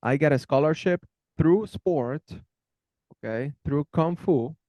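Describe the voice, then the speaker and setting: A man's voice: on the high side, slow, mellow, no echo. A man in his thirties from Venezuela, speaking English with a Venezuelan Spanish accent, answering interview questions in a friendly way and often repeating words as he searches for phrasing. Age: 30s. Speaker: interviewee, channel host.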